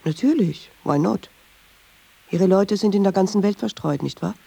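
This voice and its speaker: An actress playing a brooding, persistent woman in a radio drama, speaking in an introspective, deliberate way. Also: medium pitch, gravelly, dark tone, slightly rough, no room sound. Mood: probing. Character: brooding, persistent, troubled woman who argues with herself. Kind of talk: radio drama monologue and dialogue. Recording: dull, hissy radio drama recording.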